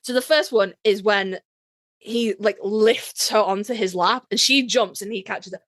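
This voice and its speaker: A woman's voice: mid-range voice, unhurried, fairly bright tone, dry recording. A woman co-hosting a casual fan podcast, chatting in a sweary, gushing, self-mocking way. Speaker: podcast co-host.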